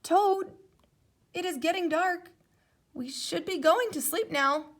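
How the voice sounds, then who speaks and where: A woman plays a sensible, kindly frog from a children's storybook. He talks slowly and patiently in a high, gentle, reassuring voice. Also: exaggerated voice.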